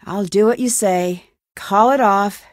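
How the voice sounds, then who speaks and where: A woman's voice: mid-range voice, a little slow, no room sound, clean studio sound, natural voice. An American woman playing a determined young amateur detective in a video game, assertive and questioning. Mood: businesslike, curious. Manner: assertive, questioning, clearly enunciated. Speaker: game protagonist.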